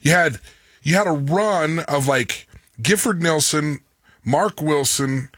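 Sports radio guy, big booming voice, a bit husky, dry studio sound. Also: slow pace, dry recording. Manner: booming, animated, laughs while arguing. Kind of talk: casual sports talk banter.

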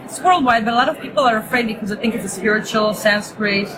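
A woman's voice: fairly high pitch, fast, a crowd in the background, poor audio. A woman raised in Israel, a native Hebrew speaker, chatting casually as a yoga teacher in an interview, with many fillers and restarts.

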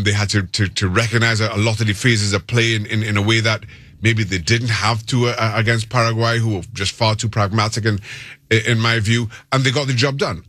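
A man with a Trinidadian accent giving considered soccer analysis as a guest, measured and articulate, often repeating words for emphasis. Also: lowish voice, fairly bright tone, dry recording. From Trinidad and Tobago. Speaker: soccer pundit, interview guest.

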